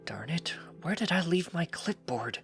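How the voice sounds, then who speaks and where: A man's voice: fairly high pitch, sing-song, measured pace, fairly bright tone, faint music behind, voice pushed up. A man voicing a careful, fretful female pony, a precise and chiding wife in a storybook reading. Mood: anxious.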